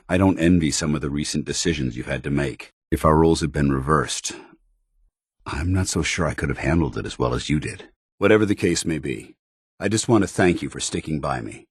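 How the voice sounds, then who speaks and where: A man playing a stern, earnest soldier who is secretly synthetic, speaking in a formal, measured military manner for a video game. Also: extremely low pitch, breathy edge, no room sound, clean studio sound, full character voice. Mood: warm, grateful. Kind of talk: video game companion dialogue, dramatic acting.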